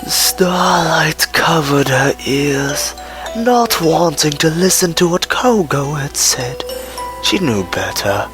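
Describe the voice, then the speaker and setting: A story narrator reads dramatic scripted prose at a slow pace. The pitch moves widely and sometimes falls toward a whisper. Music plays clearly behind the voice.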